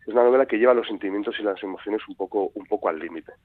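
Animated male storyteller, low voice, dry close sound. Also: rapid-fire, no echo. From Madrid. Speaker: guest author. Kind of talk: radio interview. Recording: tinny phone-like radio sound.

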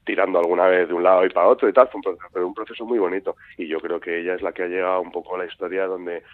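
Fast-talking man on tinny radio. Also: low voice, no echo. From Madrid. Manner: chatty, animated storyteller. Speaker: guest author. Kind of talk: radio interview. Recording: tinny phone-like radio sound.

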